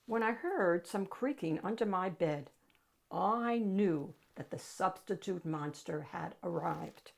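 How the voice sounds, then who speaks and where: A woman reading a picture book aloud to children as host, in an animated, dramatic storytelling style. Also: lowish voice, slow, creaky.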